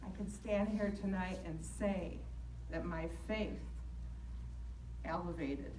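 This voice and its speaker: Older female, earnest church testimony, unhurried and emphatic, echoey distant sound. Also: fairly low pitch. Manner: deliberate, emphatic. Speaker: church member giving testimony. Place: large church sanctuary. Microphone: handheld mic, picked up by distant camera. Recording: echoey church from far off, faint noise.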